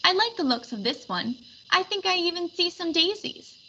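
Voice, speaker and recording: A woman's voice: very high voice, big pitch swings, normal speed, bright, forceful tone, dull audio, slight background noise, voice pushed up, exaggerated voice. A woman voicing a fussy, determined little female daisy in a storybook, complaining in an emphatic, particular way. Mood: eager, determined.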